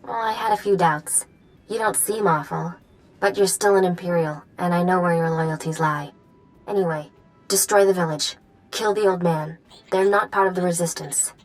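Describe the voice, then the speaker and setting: A woman's voice: on the low side, steady tone, moderate pace, faint music behind, lightly acted, rough recording. A woman playing a wry, wary underground informant in a video game, dry and matter-of-fact. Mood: resigned, wary. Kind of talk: video game dialogue acting.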